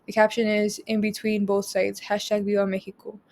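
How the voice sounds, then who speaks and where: A young woman from Texas whose first language is Spanish presents a photo project, reading each caption aloud and then explaining it in a measured way. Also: medium pitch, completely flat delivery, darkish tone. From Rio Grande Valley, Texas. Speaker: student presenter.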